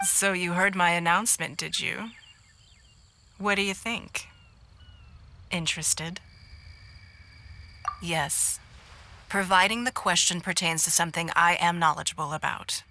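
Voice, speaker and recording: A woman playing a poised, shrewd noblewoman and business magnate in a video game, formal and measured in her delivery. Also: fairly low pitch, steady tone, pushed and bright, slight background noise. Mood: composed, authoritative. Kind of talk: scripted video game voice acting.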